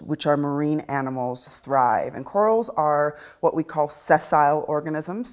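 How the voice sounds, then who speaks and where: A woman, a marine conservationist, explaining her work in an interview with frequent laughs. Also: low voice. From Bahamas. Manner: warm, laughs often, explanatory. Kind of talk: interview conversation.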